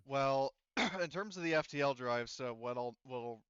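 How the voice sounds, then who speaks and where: A man's voice: medium pitch, measured pace, a bit bright, clean tone, no room sound, highs cut off. A man acting as game master, explaining the tabletop game situation to players and often rephrasing himself as he goes.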